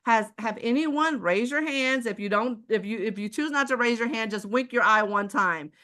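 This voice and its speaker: A woman's voice: on the high side, normal speed. A woman from Houston with a Texan accent, giving a persuasive sales presentation full of rhetorical questions. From Houston, Texas. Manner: persuasive, rhetorical questions, repeats "ladies and gentlemen". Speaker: product presenter.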